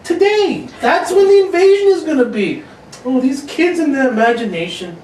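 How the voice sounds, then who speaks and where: A woman's voice: high-pitched, animated, moderate pace, a little mellow. A woman playing a breezy, gossipy housewife and old friend in a radio drama. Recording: roomy, distant mic, faint noise.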